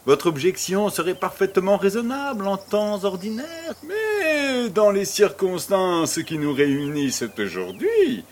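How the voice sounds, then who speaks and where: A man acting as a pompous, jovial baron in a French audio drama, speaking in a ceremonious, deliberate way. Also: high voice, exaggerated voice. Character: pompous, jovial male baron hosting a charity fête.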